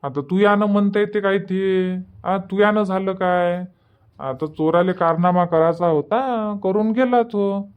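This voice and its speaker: A man's voice: high-pitched, unhurried, smooth, phone-quality sound. A man playing a reassuring, bossy village elder brother in a cartoon comedy, speaking Marathi with a Varhadi accent and repeating his advice. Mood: reassuring, firm. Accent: Varhadi Marathi of Vidarbha. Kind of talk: dialect cartoon comedy dialogue.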